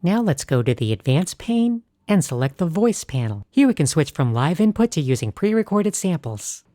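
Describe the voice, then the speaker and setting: A woman hosting a tutorial, narrating scripted explanations in a clear, explanatory tone. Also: deep voice, very animated, normal speed, warm tone, no room sound, clean studio sound. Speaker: host.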